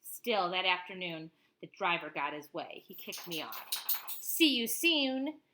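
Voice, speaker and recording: A woman reading a picture book aloud to children expressively, pausing to explain things simply to young listeners. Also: on the low side, noisy background.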